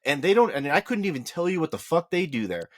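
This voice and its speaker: A man's voice: fast, no echo. A man co-hosting a podcast, talking casually about politics with a slightly whiny voice, firing off rhetorical questions as he argues. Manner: animated, rising to high rhetorical questions when arguing. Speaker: podcast co-host.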